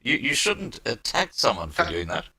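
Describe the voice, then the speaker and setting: A man hosting a live interview, speaking conversationally and repeating words haltingly as he builds a point. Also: mid-range voice, wildly swooping pitch, pushed and bright, breathy edge. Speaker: live stream host, interviewer.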